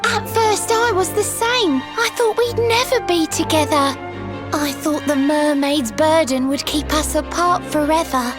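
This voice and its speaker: A woman voicing a gentle, earnest young mermaid who speaks in sing-song rhyming verse, in a video game with its score playing behind her. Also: sits high, a little slow, music in the background. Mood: tender.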